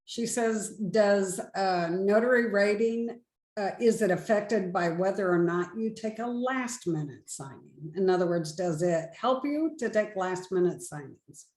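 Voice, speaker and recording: A woman's voice: mid-range voice, lively intonation, unhurried, a little mellow. A woman acting as moderator, relaying audience questions in an online group session. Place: indoors, online group call. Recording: echoey online call audio.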